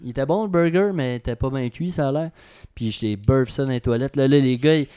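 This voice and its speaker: Band guest, québécois man, lively storyteller, clear smooth voice. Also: medium pitch, brisk. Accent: Québécois French. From Quebec. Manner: animated storyteller, swears, acts out voices. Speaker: band member. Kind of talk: casual interview chat.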